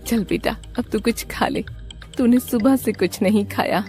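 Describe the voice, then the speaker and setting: A woman's voice: on the high side, animated, moderate pace, music in the background. A woman voicing a caring, pious village mother in a cartoon story, with a motherly, coaxing manner. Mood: tender.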